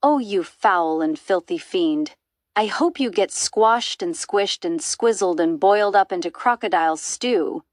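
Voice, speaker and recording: A woman's voice: mid-range voice, expressive, unhurried, dry recording, studio quality, lightly acted. A woman voicing a big, stern elephant in a storybook, scolding with emphatic indignation. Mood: outraged.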